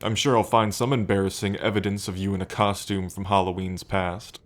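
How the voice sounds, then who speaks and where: A man's voice: deep voice, little pitch movement, brisk, close to an everyday voice. A man playing a smug, teasing demon prince of pride in an ASMR roleplay, drawling and playfully taunting the listener.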